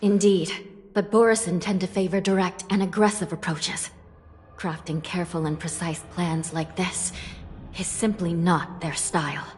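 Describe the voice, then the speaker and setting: A woman's voice: fairly low pitch, fairly even intonation, moderate pace, natural voice. A woman playing a stern, resolute prison judge and commander in a video game, speaking formally and solemnly. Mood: composed, grave. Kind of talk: English video game voice acting. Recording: long reverb, loud music behind.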